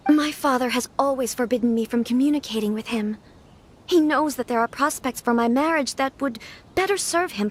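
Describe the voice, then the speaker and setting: A woman's voice: high voice, close to an everyday voice. A woman playing a young noblewoman in a video game; she speaks formally and courteously, with small nervous stammers now and then.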